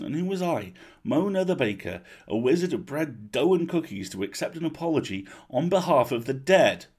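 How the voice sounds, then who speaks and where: A man's voice: medium pitch, darkish tone, husky, pitched above the performer's own voice. A man voicing an earnest, plain-spoken young baker girl who tells her own story, sounding uneasy.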